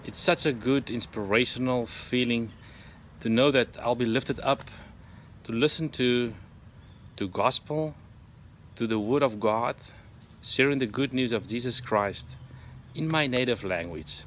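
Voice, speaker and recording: A man speaks with warm, urging enthusiasm at a middle pitch. Faint background noise sits under his voice. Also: measured pace, long pauses. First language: Afrikaans. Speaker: radio listener giving a testimonial. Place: home in Arizona.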